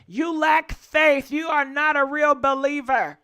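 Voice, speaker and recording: A woman's voice: sits high, unhurried, pushed and bright. A woman hosting a live gossip call-in show, acting out a preachy role play and teasing her callers.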